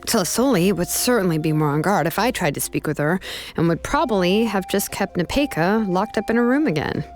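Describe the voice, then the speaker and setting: A woman playing a capable, composed young female protector in a video game, calm, easygoing and matter-of-fact. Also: lowish voice, a little fast, natural voice. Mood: reflective, appreciative. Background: music.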